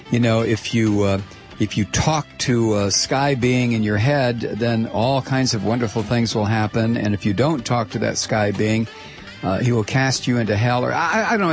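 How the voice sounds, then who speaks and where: A man hosting a late-night call-in radio show, his voice low and a little husky. He rambles and restarts as he works toward his point, with a dry, sardonic edge. The studio sound is close and dry, with faint music underneath. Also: no echo. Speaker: radio talk show host. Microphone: studio microphone.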